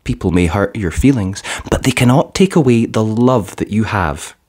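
A narrator acts out a gentle storybook mum who encourages her little girl. She speaks tenderly and solemnly, with lively, reassuring rises and falls. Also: medium pitch, normal speed, husky. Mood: earnest, loving.

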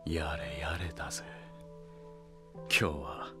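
An actor playing a stoic, gruff young tough hero in a Japanese audio drama, terse, deadpan and menacing. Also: male voice, rumbling low, slow. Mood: cool, dry. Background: dramatic music.

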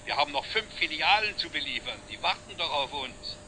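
Casting: reassuring family man on the phone, very sharp tone, faint noise. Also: a little high, lively intonation, fairly quick, low-quality recording. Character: reassuring family father on the telephone. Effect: telephone voice. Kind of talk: 1960s TV drama acting. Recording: thin telephone sound, faint noise.

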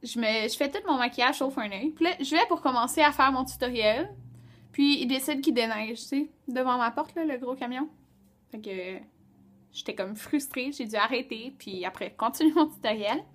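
A young woman with a Quebec French accent and a fairly high voice talks like a vlogger at home. She is chatty and colloquial, holding the camera at arm's length in a small room. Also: normal speed, fairly bright tone. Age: 20s. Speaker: beauty vlogger. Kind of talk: casual vlog chat to camera. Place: small rooms at home, indoors.

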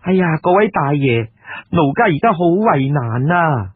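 A man's voice: a little high, very animated, unhurried, slightly rough, dry recording, heavily acted. A man with a Cantonese accent voicing a worldly, sighing brothel madam in an ancient tale. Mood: flustered. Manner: sighing, coaxing. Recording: muffled, narrow phone-grade audio.

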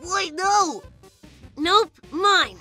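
Casting: woman as eager kid monster for cartoon dub, extremely high, bright, unhurried, expressive. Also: soft background music, heavily acted. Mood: playful. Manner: expressive, exclamatory. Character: young monster kid, earnest, eager, playful. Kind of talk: comic dub voice acting.

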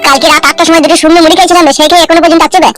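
A man's voice: very high-pitched, fairly even intonation, very fast, a bit bright. A man voicing a homeless, self-pitying, foul-mouthed village man in a comedy cartoon, whining and ranting in a rural West Bengal accent. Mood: aggrieved. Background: music.

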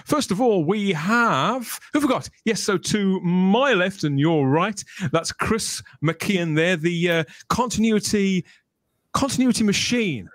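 A middle-aged man hosts a fan podcast in a chatty, enthusiastic way. His voice sits a little high and moves around a lot, with joking asides. It is recorded close and dry. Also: expressive, unhurried, no echo. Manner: enthusiastic, jokey, wordy. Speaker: podcast host. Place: small room at home, on a video call. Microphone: close podcast microphone.

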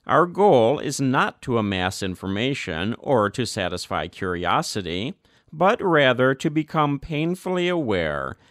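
A man's voice: mid-range voice, very animated, measured pace, a bit bright, no room sound. Guy reading a church letter aloud, earnest, very dry close sound. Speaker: reader of a church document. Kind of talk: measured audiobook reading.

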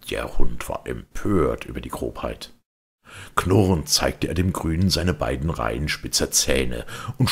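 A man narrating a children's audiobook as an expressive storyteller. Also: a bass voice, creaky, husky.

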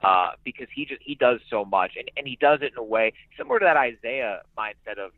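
A man's voice: medium pitch, lively intonation, a little fast, dry recording. A man giving conversational sports analysis as a radio announcer guest, emphatic and repeating phrases for emphasis. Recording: muffled, band-limited phone-like radio sound.

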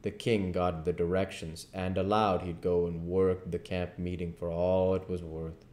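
A man in his thirties narrates a story in a low voice. He reads audiobook style at home, and the room adds clear echo. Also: flat delivery, measured pace, darkish tone. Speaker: narrator reading a novel aloud. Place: living room at home, indoors. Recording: echoey room.

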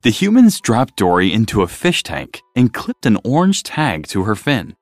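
A man reading a children's storybook aloud as narrator, in an expressive style, close to the mic. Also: fairly low pitch, normal speed, dry recording, soft background music.

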